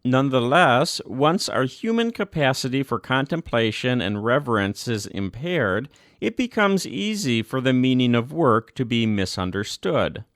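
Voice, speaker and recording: A man giving a scripted reading of a religious document, phrase by measured phrase, with deliberate emphasis. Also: mid-range voice, big pitch swings, fairly bright tone, dry recording, studio quality. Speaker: reader.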